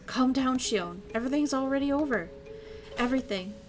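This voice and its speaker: A woman voicing a teenage boy who urgently tries to calm a frightened friend. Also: high-pitched, brisk, soft background music, pitched above the performer's own voice, close to an everyday voice. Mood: urging. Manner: urgent, trying to soothe. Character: earnest teenage boy, anxious but soothing.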